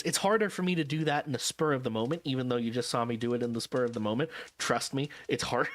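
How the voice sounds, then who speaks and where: A man's voice: on the high side, fairly bright tone. A man chatting casually to his stream audience, rambling and correcting himself with wry asides, tired and a little jet-lagged. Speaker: vtuber streamer.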